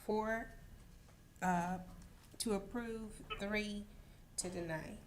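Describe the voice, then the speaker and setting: A woman's voice: medium pitch, steady tone, drawn-out words. A woman with a terse, procedural manner, conducting a formal roll call from a distance on a conference call. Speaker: roll-call officer at a board meeting. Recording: quiet, distant, noisy conference audio.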